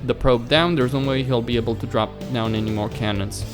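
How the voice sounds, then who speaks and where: A man's voice: medium pitch, steady tone, fairly quick. Man reading game story over music. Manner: stumbles over words while reading. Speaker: let's play host. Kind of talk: reading a visual novel aloud with reactions. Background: background music.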